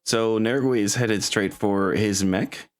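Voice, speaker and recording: Slow, low-voiced man running a game. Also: fairly even intonation, clear, resonant tone. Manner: rambling, self-correcting. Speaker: game master. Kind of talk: casual chat running a tabletop game.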